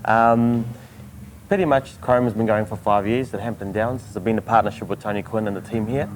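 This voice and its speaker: A man with a New Zealand accent and a low voice, earnestly answering questions about his events. He often stops and restarts, filling the gaps with "um". Faint noise sits behind him. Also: fairly even intonation, low-quality recording. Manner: earnest, halting, many fillers. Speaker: event organizer. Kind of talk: interview answers. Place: outdoors at a motorsport event.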